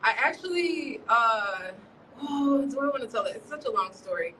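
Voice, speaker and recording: A woman in her thirties from Chicago, a journalist chatting in a relaxed, rambling way and laughing often during an interview. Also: on the high side, moderate pace, recorded from a distance, background noise. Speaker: journalist guest. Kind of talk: casual interview chat. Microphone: livestream from a distance.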